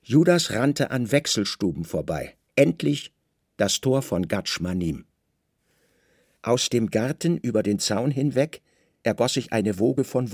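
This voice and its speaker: Gravelly male narrator, dry studio sound. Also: medium pitch, darkish tone, no echo. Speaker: audio drama narrator. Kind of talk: dramatic literary narration in a radio play.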